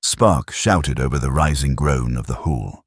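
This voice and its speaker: A man narrating a science fiction audiobook with dramatic, expressive storytelling. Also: rumbling low, a little slow, dry recording, studio quality.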